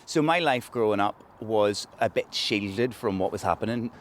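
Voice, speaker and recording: A man's voice: lowish voice, normal speed, slight background noise. A man with a Northern Irish accent, speaking reflectively and candidly in a documentary interview. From Northern Ireland.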